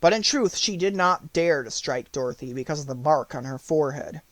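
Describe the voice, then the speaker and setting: A man reading a children's novel aloud as an audiobook narrator, in measured, clearly phrased storytelling. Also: a little high, animated, no echo.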